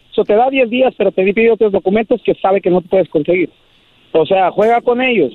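Middle-aged Mexican male activist guest, impassioned, high pitch, dry phone-line audio. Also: no echo. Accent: Mexican Spanish. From Michoacán, Mexico. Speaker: migrant shelter founder and activist, interview guest. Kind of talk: impassioned interview commentary. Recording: muffled tinny phone line, faint music.

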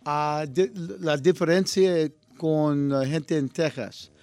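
A man whose first language is English is speaking halting Spanish in a casual interview. He keeps searching for words and drifts back into English. His voice sits slightly high. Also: a little mellow, smooth, dry recording. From United States. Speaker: actor guest.

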